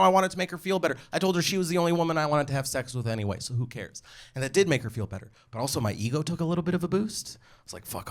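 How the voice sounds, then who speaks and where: A male stand-up comic with a slightly high voice and very lively, swinging intonation. He tells stories casually into a stage mic, acting out both sides of conversations. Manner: casual, animated, swearing, acts out dialogue. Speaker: stand-up comedian. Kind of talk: stand-up comedy storytelling. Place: live stand-up show with audience. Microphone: stage microphone. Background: audience laughter.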